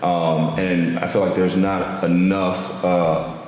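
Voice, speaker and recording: Easygoing low-voiced male podcast host. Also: level tone, takes it slowly, darkish tone. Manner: easygoing, repetitive, affirming "yeah". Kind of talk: casual podcast hosting. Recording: echoey far-off room with noise.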